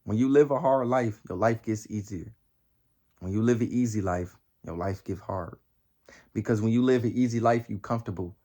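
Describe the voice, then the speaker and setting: Emphatic nineteen-year-old man, slangy and repetitive. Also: normal speed. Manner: voice climbs high and loud when pressing a point. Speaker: motivational vlogger. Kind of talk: motivational talk to camera.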